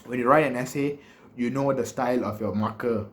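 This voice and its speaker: A man's voice: mid-range voice, a little fast, a little hiss or hum. A man in his twenties with a Malaysian English accent, giving a casual, joking speech-writing lesson from a small room, gesturing a lot and drifting off topic. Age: 20s. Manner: animated, joking, big hand gestures, wanders off topic. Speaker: speech coach. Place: small bedroom with bookshelves, indoors.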